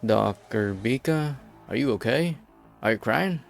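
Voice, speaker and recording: A man's voice: medium pitch, lively intonation, unhurried, vocal fry, faint music behind. A man playing a stubborn, self-sacrificing rebel leader with a blunt delivery in an English fan dub. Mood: puzzled concern.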